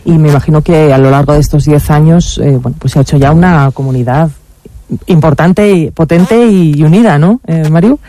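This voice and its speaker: A man's voice: a little high, fairly quick, mellow, dry recording. A man with an accent from Spain, hosting and asking questions in a conversational interview style. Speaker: interviewer, host.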